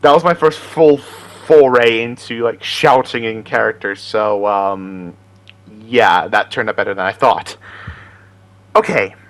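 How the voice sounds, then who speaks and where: A man's voice: on the low side, measured pace, no echo. A man hosting as an amateur voice impressionist, chatting casually to viewers in a rambling, self-deprecating way. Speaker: amateur voice impressionist and video host.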